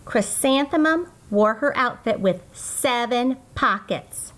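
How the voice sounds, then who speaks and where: A woman reads a picture book aloud for children. Her voice sits on the high side and she takes her time, delivering the story slowly and deliberately with sing-song emphasis. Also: lively intonation, a bit bright. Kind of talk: expressive scripted storybook read-aloud.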